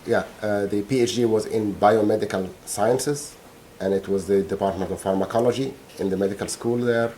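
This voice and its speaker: A middle-aged man from Egypt, gives courtroom testimony. His voice sits on the lower side and has a mellow, unforced tone. He answers haltingly and pauses to find the right words. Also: a little hiss or hum. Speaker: witness.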